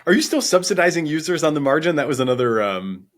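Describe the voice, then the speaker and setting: A man's voice: a little high, very animated, quick pace. A man interviewing as a podcast host, informal and thinking aloud as he forms his questions. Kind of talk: conversational interview.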